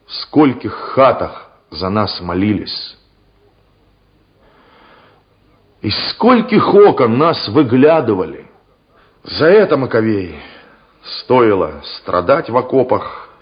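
An actor playing an earnest, reflective Soviet soldier in a radio drama, speaking slowly and with feeling. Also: male voice, on the low side. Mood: impassioned, solemn. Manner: slow, emotive, reflective delivery. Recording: slightly dull radio recording with music. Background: music.

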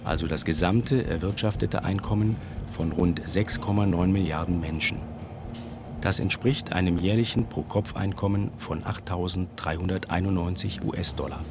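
A man's voice: deep voice, moderate pace, over music. A man delivering scripted factual narration.

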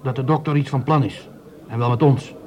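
A man plays a grumbling, broke working man who loves his beer, in a radio drama. His mid-pitched voice is blunt and colloquial, full of suspicion. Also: steady tone, brisk, darkish tone, close to an everyday voice. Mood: suspicious.

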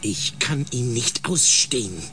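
An actor playing an irritable, indignant spaceship scientist in a radio drama, speaking with agitated scorn. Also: male voice, medium pitch, expressive, slow pace, pushed and bright, a little hiss or hum. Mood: exasperated.

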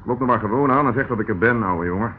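A brisk river police inspector, acted by a man in a radio drama, with a mid-pitched voice. He is firm and insistent, giving orders in a businesslike way. The recording is narrow and tinny with faint noise. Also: natural voice. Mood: commanding. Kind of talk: radio play acting.

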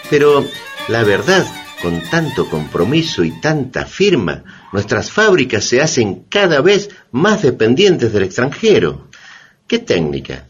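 An actor playing a wry, didactic explainer with an ironic, emphatic manner in a satirical educational radio drama. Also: male voice, medium pitch. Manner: ironic, emphatic, rhetorical questions. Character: wry, didactic male explainer debunking foreign patents.

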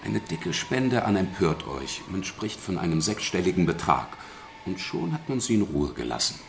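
An actor playing a dry, persistent police detective in a German radio crime drama, questioning in a wry, probing way. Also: male voice, sits low, very animated, moderate pace, gravelly, a little hiss or hum, full character voice.

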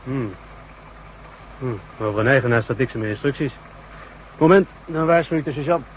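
An actor playing a brisk army guard and sergeant in a radio drama. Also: male voice, medium pitch, natural voice. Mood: stern, officious. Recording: dull radio recording, faint background noise.

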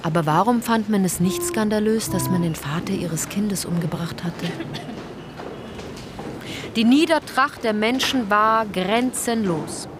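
An actress as a sober, morally pointed narrator in a scripted radio play. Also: female voice, medium pitch, unhurried, noisy background, close to an everyday voice. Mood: sober, reproachful. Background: prominent music.

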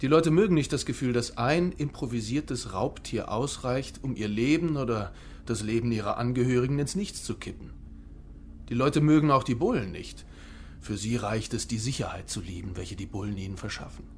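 An actor playing a cynical, smug police officer in a radio play, speaking in a sardonic, deliberate, menacing way. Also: male voice, mid-range voice, a little hiss or hum, close to an everyday voice. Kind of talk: German radio play acting, monologue.

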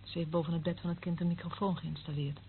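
Actress as a scornful, prying eavesdropper, muffled. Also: female voice, fairly low pitch, level tone, fast talker, close to an everyday voice. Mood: gossiping. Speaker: actress in a radio play. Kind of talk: Dutch radio drama acting. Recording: dull, lo-fi old radio recording, faint noise.

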